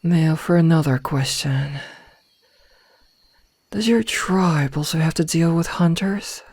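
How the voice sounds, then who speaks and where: A woman playing a possessive werewolf from a pack, smitten yet threatening, with deliberate, partly whispered delivery in an ASMR roleplay. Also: deep voice, a little mellow, breathy edge, no room sound, heavily acted. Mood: softened, possessive. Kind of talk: ASMR roleplay audio drama. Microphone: close, dry microphone.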